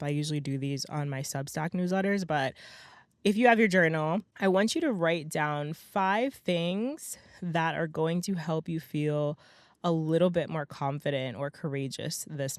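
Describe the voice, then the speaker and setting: A young adult woman hosting her own podcast in a casual, motivational monologue. Her voice is slightly hoarse and raspy, and she talks emphatically, often tagging lines with "right?" and "okay?". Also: deep voice, normal speed, no echo, clean studio sound. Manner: chatty, emphatic, peppers talk with "right?" and "okay?". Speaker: podcast host.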